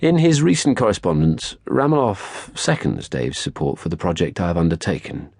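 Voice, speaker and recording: Deep, husky male audiobook narrator. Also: animated, moderate pace, darkish tone, dry recording, clean studio sound. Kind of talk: scripted first-person literary narration.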